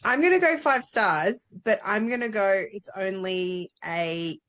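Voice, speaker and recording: A woman's voice: medium pitch, a little slow, fairly bright tone. A woman co-hosting a book club podcast in casual chat, blunt and jokey.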